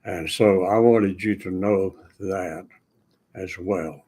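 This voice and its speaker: An older man speaking his thoughts slowly with many pauses and fillers, a retired illustrator talking about singing. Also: low voice, a little mellow, husky. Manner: slow, hesitant, many "uh" fillers and restarts. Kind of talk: unscripted personal talk to camera.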